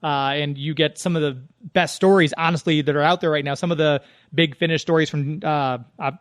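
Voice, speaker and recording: A man's voice: fairly high pitch, no room sound, studio quality. A man hosting a radio show, chatting conversationally with a guest and addressing his listeners.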